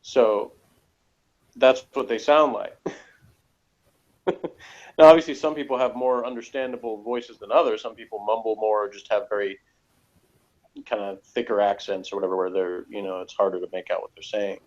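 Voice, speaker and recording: A man's voice: lowish voice, fairly even intonation, moderate pace, long pauses, a little mellow, highs cut off. An American man in casual discussion, rambling a little and hesitant, speaking plainly. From United States. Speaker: podcast reviewer.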